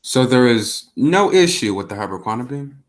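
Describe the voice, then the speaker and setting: A man's voice: mid-range voice, big pitch swings, normal speed, close to an everyday voice. A man acting a stern, demanding company boss in an amateur radio play, formal and authoritative.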